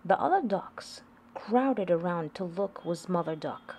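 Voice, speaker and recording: Slow-reading woman, rather low voice. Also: lively intonation, darkish tone, a little hiss or hum. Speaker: host reading picture books to children. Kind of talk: read-aloud storybook narration.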